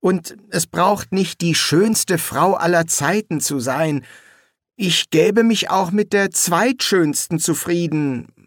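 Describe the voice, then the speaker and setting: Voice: mid-range voice, big pitch swings, slow, no room sound, studio quality, pitched above the performer's own voice. A narrator voicing an eager, gullible young male demonologist who makes demands and then pleads wistfully. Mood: pleading. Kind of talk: audiobook reading.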